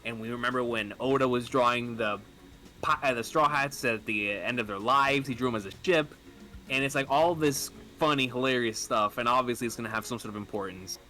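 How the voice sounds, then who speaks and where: A man talking casually and enthusiastically as a fan on a podcast, halting and rambling as he lays out his thoughts. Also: medium pitch, pushed and bright, faint music behind. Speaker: podcast speaker discussing manga theories.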